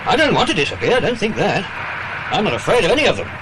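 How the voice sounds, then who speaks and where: A hearty, protective detective in a children's audio drama, with a fairly high male voice and hugely animated intonation. He reassures his young friends over vehicle noise. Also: fast talker. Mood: reassuring.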